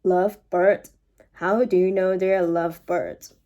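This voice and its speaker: A woman's voice: on the low side, fairly even intonation, measured pace, dark tone, highs cut off, voice pushed down. A woman voicing an anxious, exasperated elephant from a children's storybook, full of incredulous questions. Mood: puzzled, worried.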